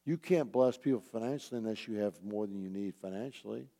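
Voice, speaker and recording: Male pastor, mellow and on the low side, exhorting earnestly in a sermon, echoing hall sound. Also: brisk. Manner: earnest, urging, repeats key phrases for emphasis. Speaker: pastor teaching a church group. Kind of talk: sermon, exhortation with rhetorical questions. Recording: roomy hall with long reverb.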